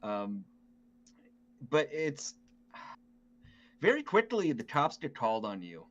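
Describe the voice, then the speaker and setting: A man in a casual game discussion, speaking haltingly as if thinking aloud, on a lo-fi recording. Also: mid-range voice, sing-song, fairly bright tone. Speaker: podcast host. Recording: dull lo-fi mic, clear noise.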